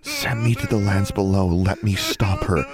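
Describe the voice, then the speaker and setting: A man voicing an earnest, determined teenage girl who speaks softly and insistently in an audiobook. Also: lowish voice, huge pitch swings, normal speed, over music, voice pushed up. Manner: soft-spoken, insistent. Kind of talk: audiobook character voice.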